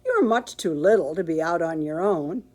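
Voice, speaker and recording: A woman's voice: lowish voice, lively intonation, normal speed. A woman reading a picture book aloud and voicing a cheerful, literal-minded housekeeper in an earnest, emphatic way. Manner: bright, earnest, emphatic read-aloud delivery.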